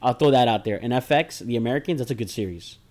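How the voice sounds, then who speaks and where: Excitable, laughing guy chatting. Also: mid-range voice, fast talker. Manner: excitable, laughs often, jumps between ideas. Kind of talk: casual chat about war movies.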